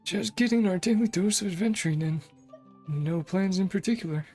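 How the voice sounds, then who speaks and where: A man's voice: high-pitched, brisk, darkish tone. A man streaming a game, chatting casually and reading the dialogue aloud in his own voice with frequent chuckles. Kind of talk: casual live-stream commentary. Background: faint game music.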